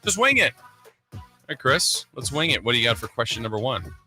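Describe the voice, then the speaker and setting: A man's voice: medium pitch, big pitch swings, fairly quick, sharp tone, soft background music. A man hosting a sports radio show, talking casually and conversationally with emphatic points.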